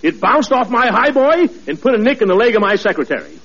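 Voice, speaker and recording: A man's voice: sits high, big pitch swings, quick pace, fairly bright tone. A man playing a pompous, blustering middle-aged neighbor in a 1940s radio comedy. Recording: muffled old radio broadcast.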